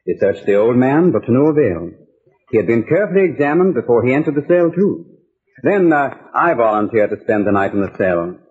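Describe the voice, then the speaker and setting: A man's voice: medium pitch, big pitch swings, a little fast, breathy edge. An actor playing an easygoing, wry newspaperman, telling his story conversationally in old-time radio style. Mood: intriguing storytelling. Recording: narrow-band vintage radio recording.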